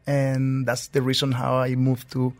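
Venezuelan chef guy, gravelly voice, easygoing storyteller, dry studio. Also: mid-range voice, unhurried, dry recording. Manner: relaxed storytelling, non-native phrasing. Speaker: chef guest. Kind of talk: interview answers. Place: radio studio.

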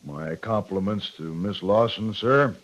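Muffled old-radio American cavalry captain. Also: male voice, fairly low pitch, a little slow. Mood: restrained, formal. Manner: terse, military, controlled. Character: firm, sombre US cavalry captain, terse and steady. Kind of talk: 1950s radio western acting. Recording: muffled noisy 1950s radio recording.

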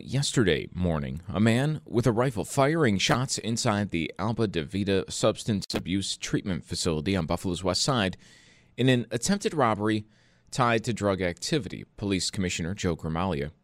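A man's voice: lowish voice, no echo. An American man reading a scripted radio newscast as the anchor in a studio.

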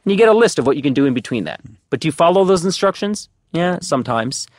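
A man from New Jersey with a New Jersey accent, a guest on a casual podcast conversation, talking in animated, energetic bursts. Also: on the high side, quick pace, dry recording. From New Jersey, USA. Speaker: guest, startup team member.

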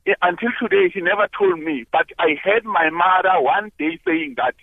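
Hesitant male caller, high animated voice, dry phone-line sound. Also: moderate pace, creaky, no echo. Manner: hesitant, searching for words. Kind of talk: call-in radio talk.

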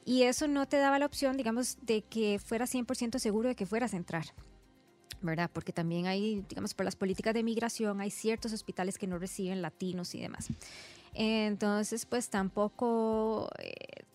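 A woman's voice: mid-range voice, steady tone, moderate pace, darkish tone, faint music behind. A woman with a Costa Rican accent talks conversationally as a radio interview guest, explaining things and often checking back with small tags. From San José, Costa Rica. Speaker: interview guest, resident doctor.

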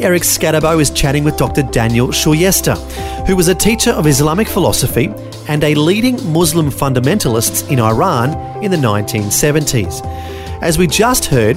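A male radio host reads the show's links in a smooth announcer style. His voice sits a little high and moves lively up and down, and clear music plays beneath him. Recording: radio link over a music bed.

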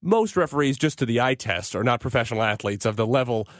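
An American man hosts conversational sports radio in a measured, explanatory manner. His voice sits at a middling pitch for a man. The recording is dry, with no room sound around it. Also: animated, dry recording.

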